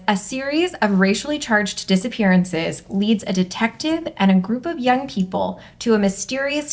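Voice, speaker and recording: A woman hosting and reading aloud in an upbeat, conversational presenter's style. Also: mid-range voice. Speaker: host and script reader. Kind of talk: upbeat hosted discussion and stage-direction reading.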